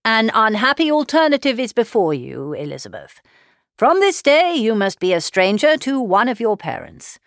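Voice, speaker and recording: A woman voicing a calm, dry-witted middle-aged father in a classic novel, deadpan, measured and formal. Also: on the high side, dry recording, pitched above the performer's own voice. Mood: mock-stern. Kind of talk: audiobook character voice, classic novel.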